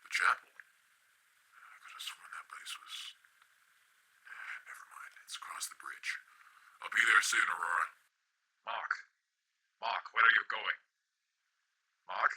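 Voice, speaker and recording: A man playing a weary, uneasy comrade with a raspy, breathy voice and stiff formal wording in a game cutscene. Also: fairly low pitch, fairly even intonation, takes it slowly, pauses often, sharp tone, in a whisper, full character voice. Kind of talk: video game cutscene acting.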